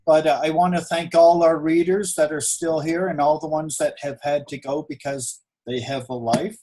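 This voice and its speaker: A man's voice: a little high, flat delivery, normal speed, dark tone, slight background noise, rough recording. A man hosting an online event over a video call, chatting in a rambling, jokey, casual way. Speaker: event host. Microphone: video call microphone.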